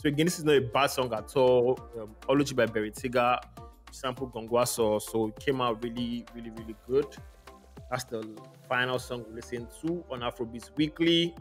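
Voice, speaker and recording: A man's voice: medium pitch, fairly even intonation, brisk. A man hosting a casual music review chat, speaking in an informal, slangy, opinionated way. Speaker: music podcast host.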